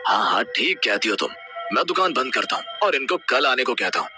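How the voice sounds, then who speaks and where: A man voicing a good-natured, slightly forgetful male ghost in animated, exaggerated storybook dialogue. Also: mid-range voice, fairly quick, gravelly, faint music behind, exaggerated voice. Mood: agreeable.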